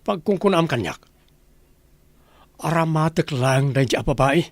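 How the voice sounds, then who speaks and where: A man's voice: a little high, expressive, a little slow, no echo. A man playing a stern, commanding, scheming wealthy boss and husband in an Ilocano radio drama. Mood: cold, threatening. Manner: commanding, threatening.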